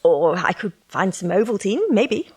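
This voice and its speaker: Audio drama: hospitable middle-aged widow and secretary, flattering, talkative, swooping intonation. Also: female voice, mid-range voice, normal speed, breathy edge. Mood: gracious, eager. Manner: hospitable, effusive.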